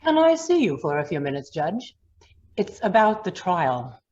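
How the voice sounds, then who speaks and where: A woman's voice: on the low side, big pitch swings, unhurried, a little mellow, dull audio. A woman playing a ruthless, commanding lawyer in an old-time radio drama, hard and threatening in manner. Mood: apprehensive, firm. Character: ruthless, commanding female lawyer blackmailing a judge.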